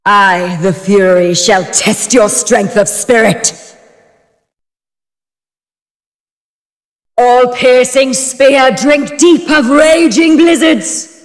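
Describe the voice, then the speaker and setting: An actress playing a proud, fierce goddess of war and ice in a fantasy video game, declaiming in a grand, commanding manner. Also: female voice, fairly high pitch, slow pace, few pauses, soft background music. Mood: commanding, stern. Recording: long reverberant hall effect.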